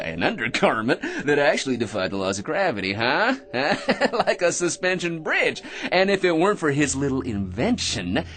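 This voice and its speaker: An actor playing a brash, eager, self-deluded schemer in a comic radio drama, full of cocky tag questions and nervous chuckles. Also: male voice, medium pitch, a bit bright.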